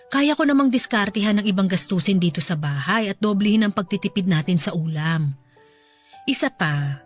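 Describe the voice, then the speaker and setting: Actress playing a tender Filipino farmer's wife, music behind. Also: female voice, mid-range voice, lightly acted. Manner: tender, soothing, affectionate. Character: loving, thrifty, reassuring middle-aged farmer's wife and mother. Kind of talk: Tagalog radio drama acting.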